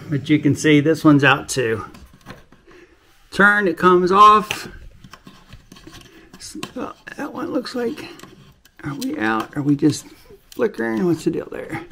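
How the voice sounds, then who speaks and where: An older man hosting a home repair video in his kitchen, explaining in a relaxed, folksy, plain-spoken way on a lapel mic. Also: a little high. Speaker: DIY video host. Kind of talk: casual how-to demonstration.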